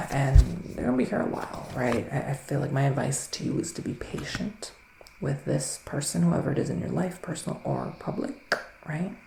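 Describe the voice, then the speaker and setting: A man doing a soft-spoken, partly whispered ASMR roleplay as a psychic witch of the woods, with mystical, theatrical phrasing. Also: mid-range voice, normal speed, vocal fry. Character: psychic male witch living in the woods, giving readings. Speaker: ASMR performer playing a psychic reader. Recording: roomy echo with faint background noise.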